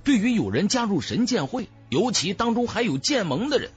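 A man's voice: sits high, sing-song, fairly quick, husky. A man narrating a fantasy audiobook in a dramatic, scripted storytelling style. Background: background music.

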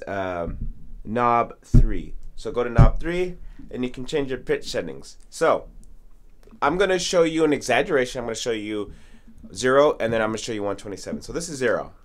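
Guy in his 30s doing a laid out casual music tutorial, medium pitch voice, studio mic, talks right to viewers. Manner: informal, addresses viewers directly. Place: small home studio with acoustic foam. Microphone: studio condenser mic with pop filter.

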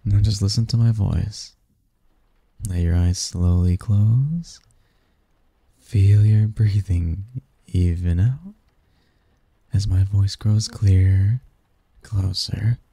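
A man performs a seductive male sleep demon in an intimate ASMR roleplay, low and very mellow. He speaks slowly and softly, with teasing, possessive devotion and formal, flirtatious phrasing. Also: gravelly, breathy edge, no echo, exaggerated voice. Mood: soothing. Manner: flirtatious, formal wording, soft intimate delivery, chuckling.

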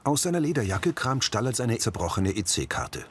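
A man's voice: mid-range voice, big pitch swings, moderate pace, a bit bright, slight background noise. A man reading scripted audio description, narrating the action of a scene in short sentences.

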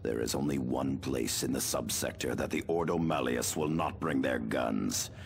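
An actor playing a grim, world-weary space marine veteran in a video game, with a very deep, gravelly voice. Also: male voice, moderate pace. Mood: resolute. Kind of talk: video game character acting.

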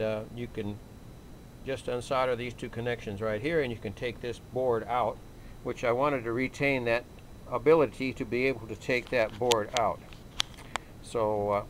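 A man giving a casual, unhurried hands-on repair walkthrough, thinking aloud in a folksy way like a hobbyist at his bench. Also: medium pitch, a bit bright, a little hiss or hum, rough recording. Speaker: electronics hobbyist demonstrating a radio repair. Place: small indoor electronics workbench.

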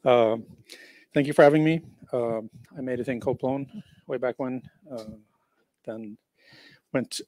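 A man's voice: medium pitch, a little mellow, no room sound. A middle-aged man from Norway, a panel guest, talking in a casual, rambling way full of fillers into a handheld mic. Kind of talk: panel conversation. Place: meeting room.

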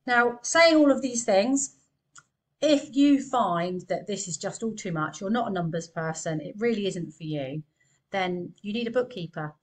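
A woman's voice: medium pitch, lively intonation, measured pace. Chatty woman accountant at a webcam. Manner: chatty, earnest, gesturing with hands. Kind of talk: direct-to-camera business advice. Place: small room indoors.

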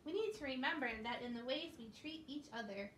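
A woman's voice: high-pitched, expressive, fairly quick, a bit bright. A woman hosting a children's show, speaking simply and encouragingly as she tells a scripted story in a living room. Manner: simple, encouraging talk addressed to small children. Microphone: distant camera. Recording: echoey, noisy room, distant camera microphone.

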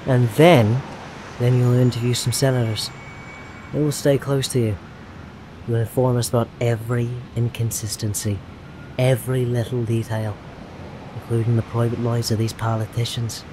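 An actor playing a smooth, secretive male government agent in an audio drama, clipped and coolly insistent. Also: medium pitch, flat delivery, normal speed, lightly acted. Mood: businesslike, insistent. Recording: noisy city street ambience.

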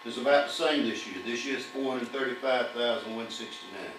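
A man's voice: medium pitch, fairly quick, a bit bright. An older man presents an audit report to a town council, reading long lists of figures at a podium with occasional fillers. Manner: reads figures steadily, with fillers. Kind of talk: formal financial report reading. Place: municipal council chamber. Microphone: podium mic, far camera. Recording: echoey distant room audio with hum.

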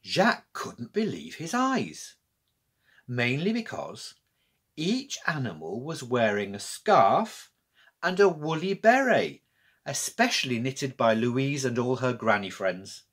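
A middle-aged male storyteller reads for children with deliberate expression. His pitch rises and falls widely, and his voice has a slightly husky, unpolished edge. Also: very animated, fairly bright tone. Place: small room with bookshelves, indoors.

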